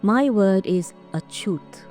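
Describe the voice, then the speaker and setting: A woman giving a personal testimony slowly and deliberately, her voice trailing off at line ends. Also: mid-range voice, animated, mellow, soft background music. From India.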